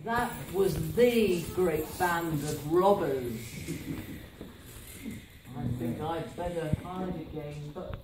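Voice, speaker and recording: A woman playing a timid, puzzled hero in an amateur pantomime, halting and full of wonder. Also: on the low side. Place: village hall stage, indoors. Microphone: distant audience camera. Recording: echoey village hall, distant, audience noise.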